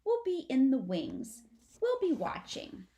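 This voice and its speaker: Eager young boy possum, played by a woman, high, loud, protective, theatrical, storybook character. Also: wildly swooping pitch, takes it slowly, a little hiss or hum, full character voice. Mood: reassuring. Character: young male possum, attached, reassuring, theatrical brother. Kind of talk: children's storybook read-aloud.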